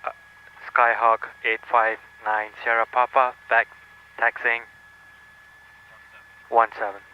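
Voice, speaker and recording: A man's voice: medium pitch, flat delivery, slow, highs cut off, slight background noise. A man as a student pilot, giving short, tentative replies and questions over an aviation headset in a small plane. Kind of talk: brief cockpit replies and radio practice. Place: small airplane cockpit.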